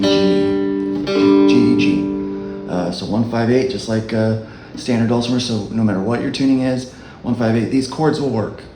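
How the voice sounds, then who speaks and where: A man with a low voice giving a relaxed, halting dulcimer lesson as a worship leader. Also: reverberant, poor audio. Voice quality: low speaking voice. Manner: unhurried, halting explanation. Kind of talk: casual music tutorial.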